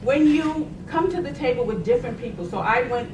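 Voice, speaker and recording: A woman's voice: high-pitched, animated, a little fast. A middle-aged woman from Boston speaking on a panel in a chatty way, laughing as she tells stories. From Boston, United States. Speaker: panelist, science agency program director. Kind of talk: conversational panel talk. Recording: echoey room, distant mic, noisy.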